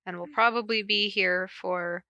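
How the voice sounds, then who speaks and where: Bright-voiced woman reading casually. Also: mid-range voice, steady tone, measured pace, vocal fry, clean tone, no echo, dull audio. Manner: informal, giggly, self-interrupting. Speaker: podcast host reading fan fiction aloud. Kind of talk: casual cold reading of stories and chat with co-host.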